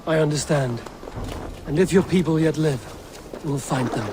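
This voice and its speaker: A man's voice: on the high side, moderate pace, heavy background noise, close to an everyday voice. A man playing Eivor, blunt Viking wanderer. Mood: calm. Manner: calm, direct and plain-spoken. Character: steady, blunt Viking wanderer, male, adult. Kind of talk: video game cutscene acting.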